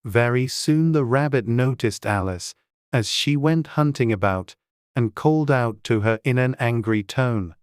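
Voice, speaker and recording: A man narrating a storybook audiobook in a gentle, measured, good-humoured way. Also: mid-range voice, clear, resonant tone, no echo, clean studio sound.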